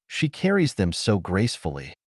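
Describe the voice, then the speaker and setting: A man's voice: on the low side, huge pitch swings, moderate pace, dry recording, studio quality. A man voicing a prim, easily shocked young woman in an audiobook, flustered and indignant. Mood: brave, wistful.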